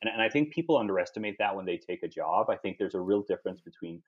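Low-voiced European man, thoughtful restarts. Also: expressive, fast talker, husky, muffled recording. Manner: thoughtful, frequent restarts and repeated words. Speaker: guest. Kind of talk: podcast conversation.

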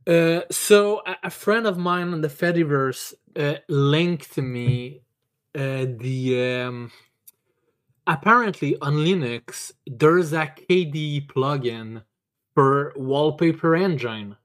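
A man hosting a casual chat in a halting, stammering way, with blunt swearing. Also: a little high. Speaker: podcast host.

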